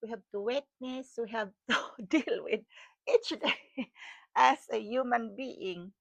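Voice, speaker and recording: A woman's voice: a little high, slow pace, gravelly, no echo. A middle-aged Filipina woman with a Cebuano accent, a wellness vlogger rambling casually to camera, repeating her phrases and laughing often. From Philippines.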